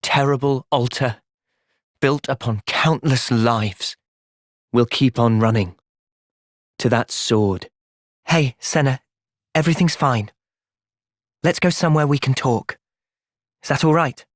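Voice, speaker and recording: A man playing an earnest, gentle, resolute young soldier in video game cutscenes. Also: mid-range voice, takes it slowly, pauses often, no room sound, clean studio sound, lightly acted. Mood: reassuring. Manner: earnest, thoughtful. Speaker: voice actor.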